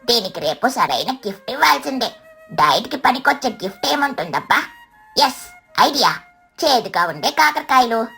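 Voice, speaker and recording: A woman's voice: a little high, very animated, normal speed, vocal fry, bright, forceful tone, breathy edge, soft background music, full character voice. A woman voicing a greedy, chubby young boy parrot in a children's cartoon story, indignant and boastful. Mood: scheming.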